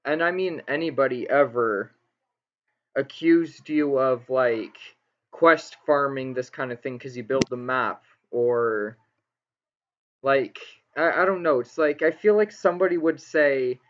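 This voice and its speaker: A man's voice: medium pitch, unhurried. A man hosting a casual gaming interview, talking in an informal, rambling, slangy way. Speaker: interviewer, gaming channel host. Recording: dull lo-fi voice recording.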